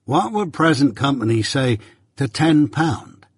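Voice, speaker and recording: A man voicing a simple, kindly country working man who speaks slowly and haltingly with rustic grammar in a storybook. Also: mid-range voice, sing-song, husky, no echo, studio quality. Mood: quietly delighted.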